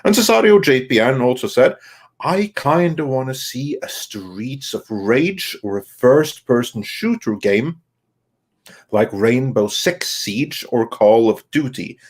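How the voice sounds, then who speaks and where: A man co-hosting a live stream, reading viewer comments aloud in a halting way and responding casually. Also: medium pitch, lively intonation, breathy edge. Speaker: co-host reading viewer messages.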